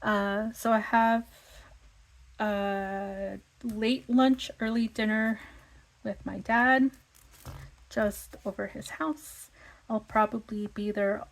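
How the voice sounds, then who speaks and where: A woman with a slightly raspy voice, chatting casually and thinking aloud with lots of fillers as she plans her week. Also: mid-range voice, steady tone, slow pace, low-quality recording. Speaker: vlogger. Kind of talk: casual planning chat.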